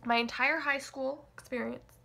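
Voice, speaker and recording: A woman's voice: high-pitched, fairly even intonation, moderate pace, creaky, bright, forceful tone, slight background noise. A nineteen-year-old woman vlogging casually, rambling and hesitant, with lots of fillers and self-deprecating asides. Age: young adult. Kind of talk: casual confessional vlog.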